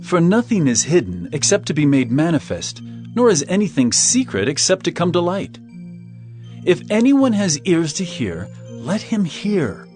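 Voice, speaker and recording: A commanding bible teacher preaching parables, highish lively voice, emphatic, music behind. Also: male voice, a little slow. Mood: solemn, commanding. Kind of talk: dramatized scripture reading.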